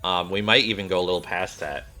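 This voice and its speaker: A man's voice: low voice, fairly even intonation, fast, bright, forceful tone, soft background music. A man streaming a game, reading its dialogue aloud and adding casual commentary.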